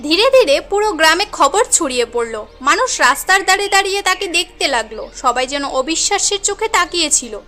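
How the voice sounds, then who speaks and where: A woman's voice: very high-pitched, lively intonation, fairly quick, few pauses, pushed and bright, soft background music. A woman narrating a moral cartoon tale in an earnest, dramatic storytelling manner.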